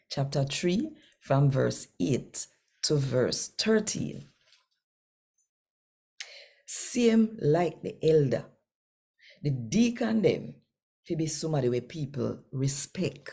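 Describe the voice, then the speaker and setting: A woman with a Jamaican accent reads scripture and speaks casually to her listeners. Her voice is low and mellow, with a husky edge. She takes her time, in a folksy, conversational way. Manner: warm, folksy, conversational Creole phrasing. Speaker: scripture reader. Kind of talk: daily scripture reading and casual address.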